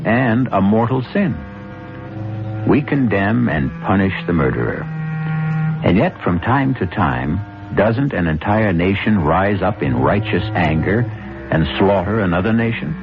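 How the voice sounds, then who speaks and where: A man narrating as the ominous, urbane host of a 1970s radio mystery, deliberate and theatrical, with measured pauses. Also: deep voice, big pitch swings, music in the background, full character voice. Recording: muffled old radio recording.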